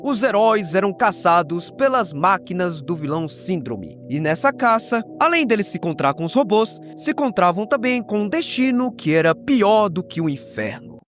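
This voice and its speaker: A man's voice: high-pitched, very animated, moderate pace, hardly any pauses, fairly bright tone. A man with a Brazilian accent telling stories as a video host, dramatic and slangy with playful asides. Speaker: host of a horror recap channel. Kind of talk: dramatic scripted storytelling narration. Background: music.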